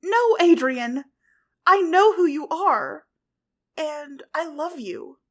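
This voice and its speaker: A woman voicing a young heroine, fierce yet tender, whose voice rises high and loud with emotion. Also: slow pace, husky, heavily acted. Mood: pleading, angry.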